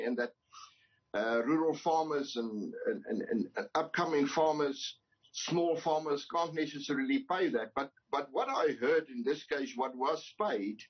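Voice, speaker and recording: Husky older man on a video call. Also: mid-range voice. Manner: hesitant, many restarts, emphatic. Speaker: employers' association representative. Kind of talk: news interview on video call. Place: home study with bookshelves. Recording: dull lo-fi video call audio.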